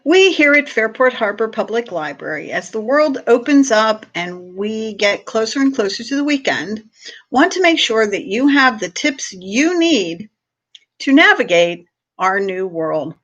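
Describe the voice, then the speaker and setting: A woman's voice: fairly high pitch, very animated, unhurried, a bit bright, dull audio. A woman reading a humorous piece aloud as a library host, with playful, theatrical emphasis. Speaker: library staff reader hosting a read-aloud. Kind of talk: expressive read-aloud of a humorous short piece.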